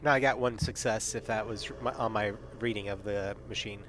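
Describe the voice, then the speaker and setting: A man's voice: fairly low pitch, fairly even intonation, fairly quick, a bit bright, a little hiss or hum. A man playing a tabletop game, talking bluntly and swearing freely. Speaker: player. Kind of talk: casual tabletop chat.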